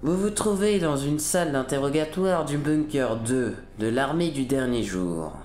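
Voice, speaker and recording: A woman's voice: a bass voice, lively intonation, unhurried, darkish tone, roomy sound, faint music behind. A woman playing a sadistic military interrogator in an audio drama, gloating, taunting and cruel with mock-polite menace. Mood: cold menace.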